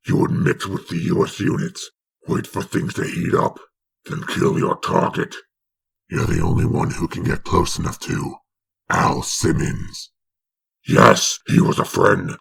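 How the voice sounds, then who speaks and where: Sardonic demon narrator, extremely croaky and hoarse-edged, dramatic comic storytelling. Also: male voice, rumbling low, fairly bright tone, exaggerated voice. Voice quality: gravelly, raspy. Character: sinister demonic male narrator, mocking and knowing.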